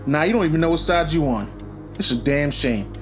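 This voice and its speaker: A man's voice: fairly high pitch, animated, brisk, faint music behind, close to an everyday voice. An actor playing a disgruntled, patronizing male police captain in an audio drama, needling and sardonic. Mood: taunting, accusing.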